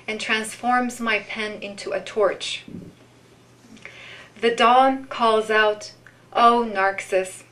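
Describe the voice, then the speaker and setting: Slow, deliberate woman reading poetry. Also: medium pitch, a bit bright, poor audio. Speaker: poetry reader. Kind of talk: live poetry reading with informal commentary.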